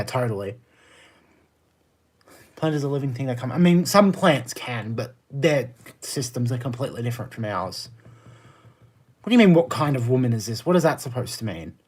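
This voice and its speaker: A man's voice: mid-range voice, breathy edge. A man with an Australian accent chatting casually on a livestream, answering viewer comments in a blunt, exasperated, emphatic way. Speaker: live streamer.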